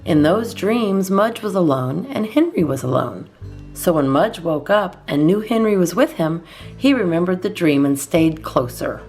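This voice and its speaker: A woman reading a children's storybook aloud as a storytime host, expressively and in measured phrases with deliberate pauses. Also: fairly low pitch, animated, darkish tone, soft background music.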